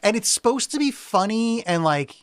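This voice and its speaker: A man's voice: sits high, sing-song, moderate pace, smooth. A man from the Philadelphia area with a nasal voice, co-hosting a podcast and mocking a bad movie with sarcastic, profane remarks. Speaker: podcast co-host. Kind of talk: casual chat reviewing a movie.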